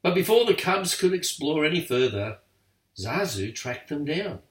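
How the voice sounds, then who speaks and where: An older man reading a bedtime story aloud to grandchildren, expressive and lively. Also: fairly high pitch, measured pace. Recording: echoey room, far from mic.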